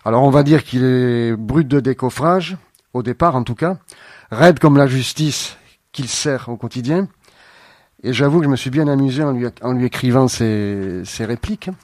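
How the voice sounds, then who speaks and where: A man guesting on a radio show, answering thoughtfully with frequent pauses and fillers. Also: mid-range voice, darkish tone, dry recording, studio quality. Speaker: playwright guest. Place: radio studio.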